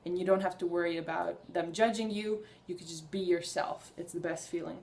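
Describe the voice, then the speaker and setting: A woman's voice: fairly low pitch, fairly even intonation, a little fast, darkish tone. Earnest, enthusiastic young woman vlogger. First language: Hungarian. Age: young adult. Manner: earnest, enthusiastic, rambling with restarts. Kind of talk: casual personal vlog.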